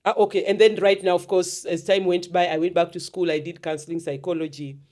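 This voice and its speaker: A woman host with a deep, heavy voice, chatting at length in a casual, storytelling way. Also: steady tone, quick pace, darkish tone. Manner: talkative, storytelling, mixes in Swahili words. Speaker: podcast host and therapist. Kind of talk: casual conversational interview.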